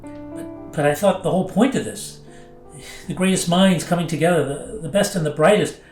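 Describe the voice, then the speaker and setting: A man playing an earnest, easily rattled pilot in an audio drama, halting and indignant in his delivery. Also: fairly high pitch, darkish tone, reverberant, soft background music, lightly acted. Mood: confused, insistent. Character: defensive, flustered, earnest male pilot. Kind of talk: naturalistic audio drama dialogue.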